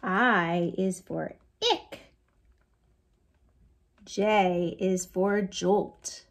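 An American woman in her forties hosting a read-aloud storytime for young children, in an animated, sing-song way with playful sound effects. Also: mid-range voice, extremely slow. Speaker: children's librarian, storytime host. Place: small lamp-lit room at home.

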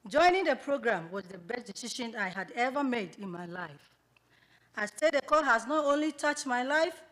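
A woman from Ghana whose first language is Twi, reading a prepared graduation speech in a measured, earnest way at a podium microphone in a banquet hall. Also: on the high side, fairly bright tone. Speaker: graduating student speaker. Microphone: podium microphone on a stand, filmed from a far camera.

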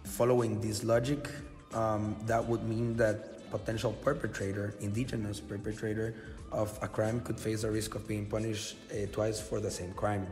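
A man's voice: lowish voice, level tone, a little mellow, soft background music. Formal Guatemalan man with reverb. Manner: formal, measured, with filler uhs. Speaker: podcast guest speaker. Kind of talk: prepared talk.

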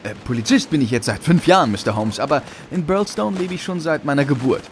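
A man's voice: fairly high pitch, animated, fast talker, slight background noise. An actor playing an eager, deferential village policeman in a German radio drama, hesitant and polite. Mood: flustered, respectful.

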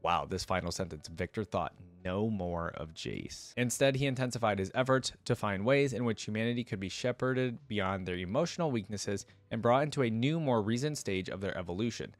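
A man's voice: on the low side, lively intonation, fairly quick, few pauses, no room sound, faint music behind. A young man hosting a reaction video, reading lore aloud and commenting casually into a close desk microphone. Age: young adult. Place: indoors at a desk.

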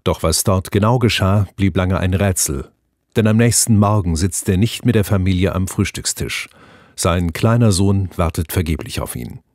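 A man's voice: low-pitched, animated, moderate pace, a little mellow, dry recording. A man reading scripted true-crime narration in short, dramatic sentences, recorded in a studio. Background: background music.

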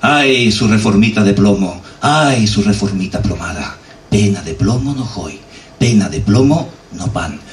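A man's voice: lowish voice, animated, measured pace. A man giving a performance poem as a slam poet, chanting words in insistent repetition. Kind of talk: performance poetry. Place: café stage. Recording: echoey live room, faint noise.

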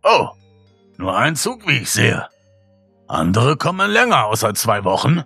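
A man voicing a sinister, smiling dealer of happiness, with a sweetly polite, slow and menacing manner in a horror story. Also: medium pitch, wildly swooping pitch, creaky, bright, forceful tone, husky, dry recording, soft background music, pitched above the performer's own voice, heavily acted. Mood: falsely sweet.

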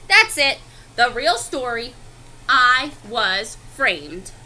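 A woman's voice: fairly high pitch, very animated, drawn-out words, bright, forceful tone, a little hiss or hum, voice pushed down, heavily acted. A woman voicing an indignant, self-justifying wolf telling his side of a picture-book story in a chatty, confiding, theatrical way. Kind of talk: expressive picture-book read-aloud.

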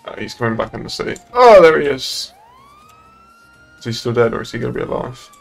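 A man's voice: mid-range voice, sing-song, fairly quick, creaky, soft background music. A young adult man chatting casually and bluntly in a roleplay game, swearing often, on a streaming mic. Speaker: streamer playing a police boss in a roleplay game. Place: indoors at a streaming setup.

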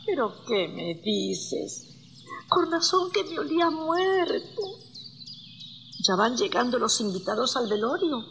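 Radio drama: woman as kindly pious village storekeeper, animated pitch with some fry, long reverb, faint background. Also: slow, exaggerated voice. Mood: motherly, sympathetic. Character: motherly village shopkeeper woman, pious and generous. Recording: reverberant with birdsong and faint noise.

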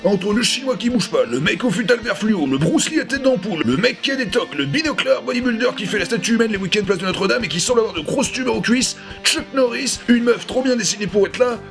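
A young man hosting a comic review, mocking and exasperated, with sarcastic, profane commentary. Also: high voice. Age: young adult. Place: living room at home. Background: music.

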